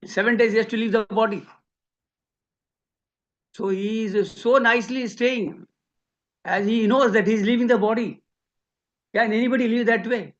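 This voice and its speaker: A man's voice: sits high, steady tone, normal speed, long pauses. A man from India with an Indian accent gives a memorial tribute, telling personal anecdotes and acting out both sides of conversations, on a lo-fi recording. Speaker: devotee giving a memorial tribute. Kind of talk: spoken eulogy with personal anecdotes. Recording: dull lo-fi speech recording.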